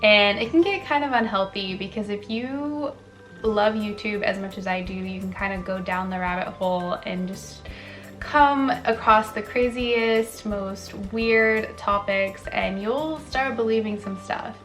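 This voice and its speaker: A woman's voice: mid-range voice, unhurried, a bit bright, faint music behind. A woman in her twenties chatting casually to camera as a lifestyle vlogger, full of "like" and "um" fillers. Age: 20s.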